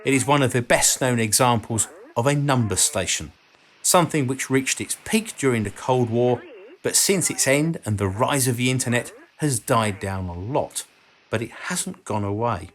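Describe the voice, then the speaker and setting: A man narrating a scripted explanatory documentary in a measured, informative manner. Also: medium pitch, animated. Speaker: documentary video narrator and presenter.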